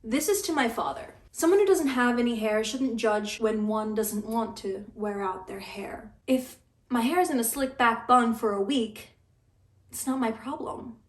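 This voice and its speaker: A young woman in her twenties talking in a casual vlog, dry and deadpan, griping with stammered restarts. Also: on the high side, measured pace. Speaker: vlogger. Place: apartment room. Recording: echoey room, mic at a distance.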